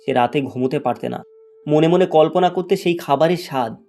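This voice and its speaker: A man's voice: fairly high pitch, brisk, gravelly, dark tone, soft background music. A man reading a scripted fairy tale as its storyteller. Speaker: storyteller, narrator.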